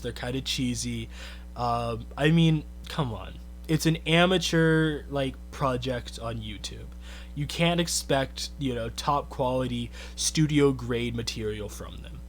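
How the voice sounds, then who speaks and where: A man's voice: medium pitch, a little hiss or hum. A man giving a casual, earnest commentary rant, thinking aloud with lots of "I think" and "you know". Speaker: YouTube commentator.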